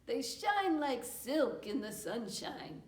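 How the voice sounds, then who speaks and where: Puppet-show fox, female performer: male, sly smooth talker, exaggerated delivery, lively pitch swings, echoey room. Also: slow pace, heavily acted. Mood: gushing flattery. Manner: smooth-talking, showy, exaggerated. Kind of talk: children's puppet show storytelling.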